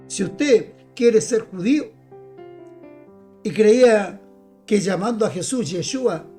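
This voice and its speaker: The mellow voice of an evening online church broadcast, preaching insistently to the faithful while a guitar plays faintly behind. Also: mid-range voice. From Chile. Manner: emphatic, direct address to listeners, repeats phrases. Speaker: evangelical preacher. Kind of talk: informal Bible teaching.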